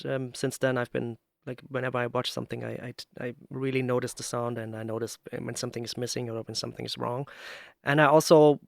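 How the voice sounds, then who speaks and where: A man hosting a casual interview, speaking in a halting way as he searches for words. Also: medium pitch, gravelly, clear, resonant tone, no echo, studio quality. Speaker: podcast host, interviewer, works in sound.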